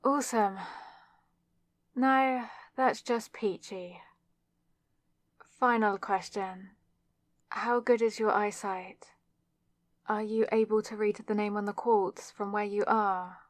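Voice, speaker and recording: A woman's voice: medium pitch, steady tone, slow, pauses often, no echo, studio quality, close to an everyday voice. A young woman playing a flustered, awkward student witch with a wry, self-deprecating manner in an ASMR roleplay, speaking straight to the listener. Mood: wry, panicking. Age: young adult. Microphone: close microphone in a dry space.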